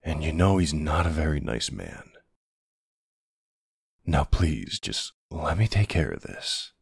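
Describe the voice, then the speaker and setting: A man playing a gruff but tender, protective older man in an intimate ASMR boyfriend roleplay, speaking hesitantly with casual endearments. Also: very deep voice, very animated, slow pace, no echo, clean studio sound, heavily acted. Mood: protective, reassuring. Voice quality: gravelly, husky. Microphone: close, dry microphone.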